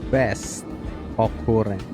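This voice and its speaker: A man's voice: fairly low pitch, steady tone, slow pace, over music. A man streaming a game, giving casual, off-the-cuff commentary as he plays.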